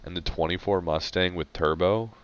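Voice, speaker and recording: Very deep-voiced man, dry and laid-back. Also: moderate pace, clear, resonant tone. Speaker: podcast co-host. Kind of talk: casual chat. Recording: dull lo-fi mic sound.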